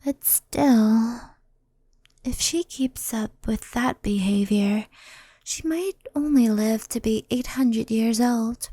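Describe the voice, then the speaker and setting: A woman playing a gentle, anxious, centuries-old fox spirit in an ASMR roleplay, speaking softly and often whispering close to the microphone. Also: a little high, no room sound. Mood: pensive. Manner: soft, breathy, often whispered, unhurried.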